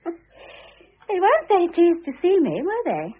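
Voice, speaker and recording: An actress playing an affectedly innocent, self-absorbed young second wife in a classic radio drama, with a gushing, theatrical, wheedling manner. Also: female voice, very high-pitched, wildly swooping pitch, measured pace, phone-quality sound, full character voice. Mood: earnest confiding. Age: young adult. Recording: thin band-limited old radio recording.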